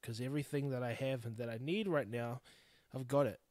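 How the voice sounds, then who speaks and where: A man's voice: mid-range voice, a little fast, darkish tone, dry recording. Blunt, swearing New Zealand man in his thirties. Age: 30s. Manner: blunt, swears freely, rambling and heartfelt. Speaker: podcast host. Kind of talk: candid solo podcast monologue.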